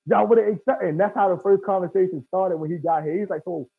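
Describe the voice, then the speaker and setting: Fast-talking husky man on a tinny line. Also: high voice, dry recording. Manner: earnest, emphatic, keeps saying bro. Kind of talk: casual podcast chat. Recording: tinny phone-like sound, dry.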